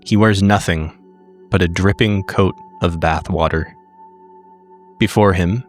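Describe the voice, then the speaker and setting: A man narrating tabletop horror fiction in a measured, deliberate, literary way. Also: sits low. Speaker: player narrating his character in a tabletop horror game.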